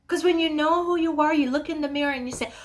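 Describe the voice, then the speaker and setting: Female motivational speaker, high register, emphatic and conversational. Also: fast. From Kenya. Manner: emphatic and conversational, asks rhetorical questions. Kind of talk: motivational talk addressed to listeners.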